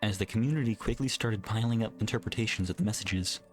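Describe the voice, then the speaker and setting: A man reading a scripted horror story as a narrator, with measured, deliberate delivery. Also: on the low side. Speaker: creepypasta narrator. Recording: music bed under the voice. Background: background music.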